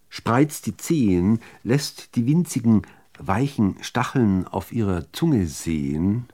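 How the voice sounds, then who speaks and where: Male poetry reader, lowish pitch, highly varied intonation, deliberate literary delivery. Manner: measured, deliberate phrasing with expressive emphasis. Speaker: reader of poems.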